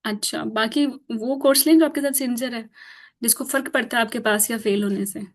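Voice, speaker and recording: A woman's voice: a little high, a little fast. A woman whose first language is Urdu, teaching an informal online class in a chatty way, laughing often and mixing in English. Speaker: course teacher.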